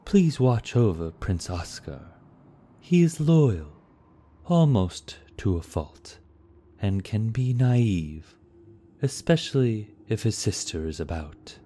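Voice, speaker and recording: Guy doing a calm soft-spoken lord, slow and reassuring, low mellow voice. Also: full character voice. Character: serene soft-spoken male elder lord.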